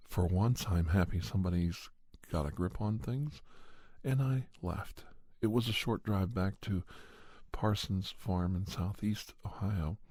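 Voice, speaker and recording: A man narrating a first-person horror story in ASMR style, in the measured, halting manner of a scientist recounting events. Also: low voice, warm tone, slightly rough, no echo. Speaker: ASMR storyteller and podcast host.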